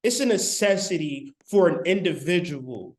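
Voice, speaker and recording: A man with a high voice lectures on spirituality over a live stream, recorded on his laptop's mic. His delivery is emphatic and colloquial, circling back over his points and talking straight to the people watching. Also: expressive, moderate pace. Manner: emphatic, repetitive, colloquial, talks straight to viewers. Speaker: spiritual teacher.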